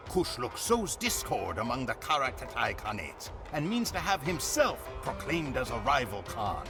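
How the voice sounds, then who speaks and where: A solemn male Mongol chronicler recounts a campaign in a slightly high voice that rises and falls widely. Game cutscene narration with music clearly behind. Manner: grave, measured storytelling.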